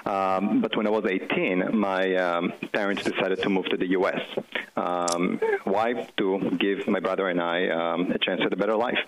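A man's voice: low-pitched, steady tone, moderate pace, background noise. A man in his forties with an Israeli accent, a company chief talking as a guest in a casual interview, telling his story with lots of fillers and restarts.

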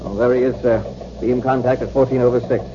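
An American actor playing a dutiful military radio operator in a 1950s radio drama, formal and measured with his call signs. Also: mid-range voice, fairly even intonation, close to an everyday voice. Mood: dutiful, focused. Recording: muffled, noisy old 1950s radio recording.

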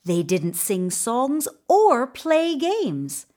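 A woman narrates a children's tale at a very unhurried pace. Her pitch moves animatedly as she leans on key words for drama. The delivery is deliberate and expressive, made for storytelling.

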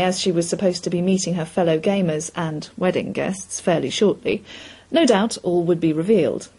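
A woman reading literary fiction aloud in a measured storytelling manner. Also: mid-range voice, dark tone, husky, poor audio. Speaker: story reader. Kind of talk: scripted literary fiction narration.

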